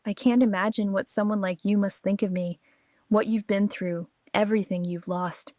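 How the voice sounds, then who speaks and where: A woman's voice: medium pitch, fairly even intonation, moderate pace, darkish tone, no echo, clean studio sound, lightly acted. A woman playing a conflicted, defensive and vulnerable married mother in an audio drama. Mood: guilty, vulnerable.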